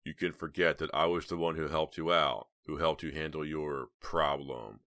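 A man's voice: extremely low pitch, fairly even intonation, normal speed, bright, forceful tone, dry recording, studio quality, exaggerated voice. A man playing a smug, menacing blackmailer in an audiobook story, needling and unruffled in manner. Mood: calm, menacing.

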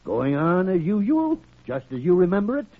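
Blustery old doctor, wildly swooping voice. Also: male voice, high voice, wildly swooping pitch, normal speed, breathy edge, full character voice. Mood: coaxing. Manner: blustery, commanding, theatrical. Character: gruff, blustery older male senior doctor, fatherly to patients. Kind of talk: old-time radio drama acting. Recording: tinny, band-limited old radio recording.

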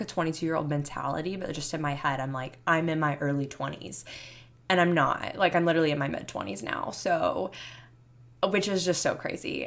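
A woman's voice: deep voice, creaky. A woman in her mid twenties hosting a solo podcast in a chatty, rambling, casual way. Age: 20s. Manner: chatty, rambling, youthful filler-heavy talk. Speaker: podcast host.

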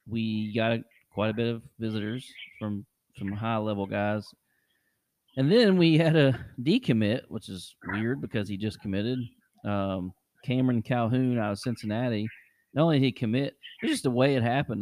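A man's voice: medium pitch, darkish tone, dry recording. A man hosting a casual sports podcast, loose and chatty, repeating words for emphasis. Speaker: podcast host.

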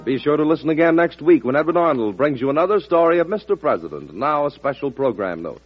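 Higher-pitched American male radio announcer. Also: big pitch swings, fast. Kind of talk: 1940s radio announcing, scripted credits. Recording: dull old radio recording over loud music.

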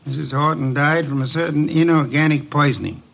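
Casting: an older, imperious genius detective for a 1950s radio mystery; fairly high, gravelly voice, formal and pompous. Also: male voice, steady tone, brisk, fairly bright tone, poor audio. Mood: commanding, deliberate. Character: imperious, eccentric genius male private detective. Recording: vintage radio drama recording.

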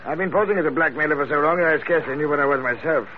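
An actor playing a wily, pompous investigator with florid, deliberate speech in a vintage radio drama. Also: male voice, on the high side, close to an everyday voice. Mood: courtly, wry. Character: wily, pompous British investigator posing as a smooth blackmailer. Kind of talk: 1940s-50s radio drama acting. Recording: muffled, noisy vintage radio recording.

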